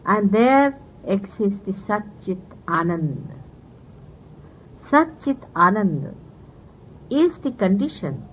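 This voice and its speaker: A woman from India whose first language is Marathi speaks with an Indian accent, explaining spiritual ideas earnestly to her listeners and pausing often with fillers. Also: mid-range voice, big pitch swings. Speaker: spiritual teacher. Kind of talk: spiritual talk to followers. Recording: dull old 1970s tape with faint hiss.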